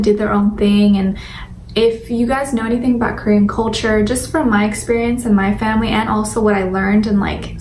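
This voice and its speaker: A young adult woman chats casually to viewers as a vlogger, in an informal, rambling way full of fillers. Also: mid-range voice. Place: indoor room at home with a sunlit window. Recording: roomy echo, distant mic, faint noise.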